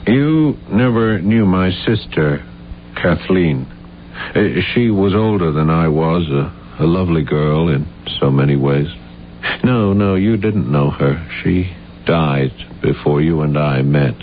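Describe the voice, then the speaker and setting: An actor playing an earnest, halting, guilt-ridden failed playwright in a 1970s radio drama. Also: male voice, very deep voice. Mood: grave, guilt-ridden. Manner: halting, intense, stammering when flustered. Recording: dull, noisy old radio recording with hum.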